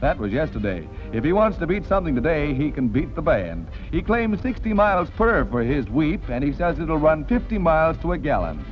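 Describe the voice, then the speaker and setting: A man's voice: fairly high pitch, sing-song, a little fast, hardly any pauses, dull audio. An American man narrating a 1940s newsreel with a jaunty, wisecracking, punchy showman's delivery, on a vintage soundtrack. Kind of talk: 1940s newsreel commentary, scripted. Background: background music.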